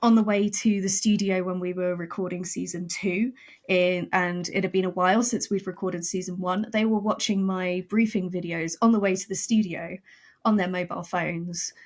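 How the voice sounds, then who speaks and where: A woman writer talking as a podcast guest in casual chat, animated and self-mocking, often laughing. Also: lowish voice, moderate pace.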